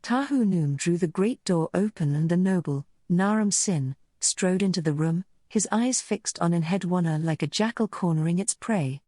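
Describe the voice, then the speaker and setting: A woman's voice: lowish voice, normal speed, few pauses, dark tone, no room sound. A woman reading scripted narration for a dramatic visual novel story.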